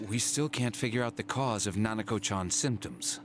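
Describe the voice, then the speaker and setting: An actor playing a serious hospital doctor in a video game, explaining things in a careful, measured manner. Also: male voice, lowish voice, noisy background, natural voice. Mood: grave.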